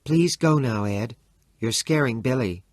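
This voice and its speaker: Man voicing a calm, cold stepmother. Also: medium pitch, measured pace, dry recording, clean studio sound, voice pushed up, close to an everyday voice. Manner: quiet, even and controlled.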